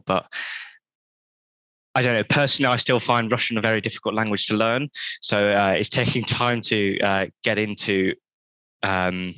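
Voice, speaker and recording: Deep-voiced guy on a video call, punchy bright tone, kind of earnest, lots of ums. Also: no room sound, low-quality recording. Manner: earnest, with frequent ums and restarts. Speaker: researcher on a webinar panel. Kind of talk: unscripted panel answer.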